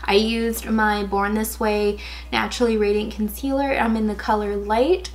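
A young woman in her twenties with a raspy, slightly hoarse voice, chatting casually through a makeup tutorial with lots of "um" and "like". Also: mid-range voice, fairly even intonation, moderate pace. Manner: casual, chatty, many fillers like "um" and "like". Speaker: beauty vlogger. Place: indoors, in front of a gold sequin backdrop.

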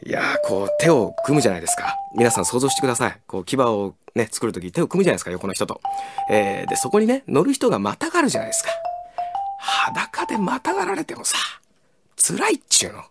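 A man's voice: mid-range voice, wildly swooping pitch, fast, loud music behind the voice. A male voice actor telling a comic story with exaggerated delivery in a recording studio. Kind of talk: cast comment anecdote.

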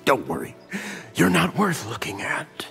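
The smug, sarcastic super-man in a fighting game, slowly toying with his next victim before the round starts. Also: a little high, big pitch swings, vocal fry, fairly bright tone, soft background music, exaggerated voice. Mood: condescending menace. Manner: mocking, condescending, drawling sarcasm. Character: smug, menacing, narcissistic caped male superhero. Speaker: video game villain actor. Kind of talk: video game fight taunts.

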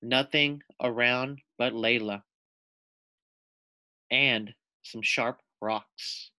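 A man reading a picture book aloud to young children as their teacher, deliberate and explanatory. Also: mid-range voice, steady tone, pushed and bright, no room sound. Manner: deliberate, explanatory, asks the listeners questions.

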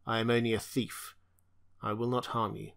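A man voicing a blunt young barbarian thief, speaking low, curt and muttered. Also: level tone, moderate pace, gravelly, a bit bright. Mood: gruff.

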